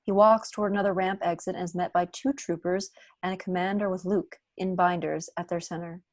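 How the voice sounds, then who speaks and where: A woman with a fairly low voice narrates a script at a table read. She reads out stage directions over a video call, with a dry, close sound. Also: fairly even intonation, fairly quick, no echo. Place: indoor room on a video call.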